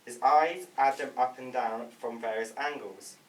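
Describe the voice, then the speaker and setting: A man's voice: medium pitch, normal speed. A man reading stage directions as scripted narration at a table read. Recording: echoey room, distant mic, faint noise.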